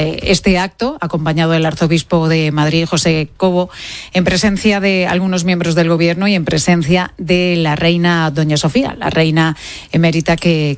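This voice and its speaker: A woman's voice: lowish voice, clear, resonant tone, dry recording. A woman hosting a live radio broadcast, talking conversationally with some fillers. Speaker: radio host. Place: stadium broadcast position.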